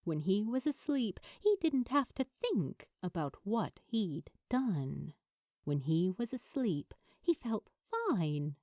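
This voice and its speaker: A woman reading a story as an audiobook narrator, with dramatic, deliberate phrasing and pauses. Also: medium pitch, very animated, mellow, no echo, clean studio sound. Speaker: narrator of fan fiction.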